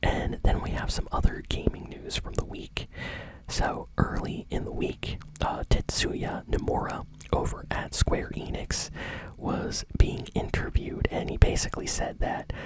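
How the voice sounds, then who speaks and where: A woman hosting a gaming news show in a soft, breathy ASMR whisper, speaking in a casual, unhurried, fan-like way. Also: low-quality recording. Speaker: host of a gaming news channel.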